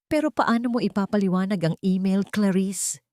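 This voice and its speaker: A woman voicing a scheming businessman who feigns concern, soft-spoken yet pressing, in an audiobook drama. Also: mid-range voice, moderate pace, no echo, clean studio sound, lightly acted. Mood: feigned concern. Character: smooth scheming businessman, falsely concerned, gently insistent.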